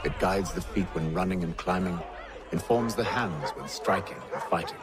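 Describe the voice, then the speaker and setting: Deep formal gentleman assassin, calm authority, intonation moves a lot, loud music. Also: normal speed, crowd noise. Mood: assured. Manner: formal, assured, instructive. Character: composed, authoritative gentleman assassin mentor, male. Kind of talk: video game acting. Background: loud game music.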